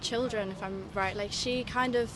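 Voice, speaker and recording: A woman's voice: medium pitch, fairly even intonation, normal speed. A woman answering a street interviewer, reasoning earnestly with many fillers. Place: city street outdoors. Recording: street interview with clear traffic noise. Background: traffic.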